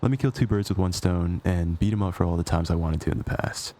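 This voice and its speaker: A man playing a cocky, possessive, sarcastic supervillain with a soft spot, in an intimate ASMR roleplay with a teasing, conversational delivery. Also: sits low, fast talker, natural voice. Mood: jealous, defiant.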